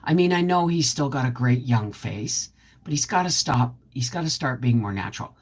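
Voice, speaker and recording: A woman's voice: a bass voice, expressive, moderate pace, slightly rough. A middle-aged woman chatting casually on a live stream, rambling and answering viewer comments as she goes. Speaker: homestead channel host.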